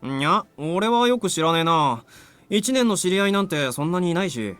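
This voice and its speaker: High, lively teasing schoolboy. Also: male voice, fast. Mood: casual curiosity. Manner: playful, blunt, informal. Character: teasing, easygoing male high school student. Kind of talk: anime game voice acting. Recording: game music behind.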